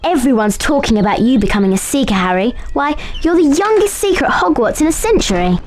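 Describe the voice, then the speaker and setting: A woman playing a bright, eager, friendly schoolchild of about eleven in a video game. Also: high voice, very animated, a little fast, slight background noise. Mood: excited, admiring. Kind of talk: video game character acting.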